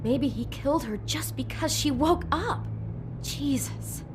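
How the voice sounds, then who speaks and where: An actress playing an anxious, sharp-tongued young female front desk worker in a video game, speaking bluntly and emotionally. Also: high-pitched, animated, unhurried, background noise. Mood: appalled. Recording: music bed behind voice.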